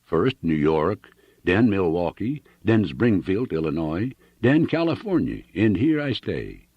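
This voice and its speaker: A sentimental, proud German immigrant farmer in middle age, as a male narrator puts him on, speaking thick German-accented broken English. The voice is deep and husky, with a lively rise and fall. The recording sounds dull and dry. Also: unhurried, no room sound, heavily acted. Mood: reminiscing.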